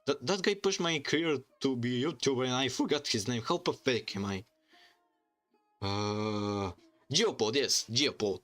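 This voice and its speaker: A man from Bulgaria with a Bulgarian accent, chatting casually on a livestream in halting, rambling English full of restarts. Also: mid-range voice, animated, fairly bright tone, soft background music. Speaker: streamer and YouTuber. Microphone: desk microphone.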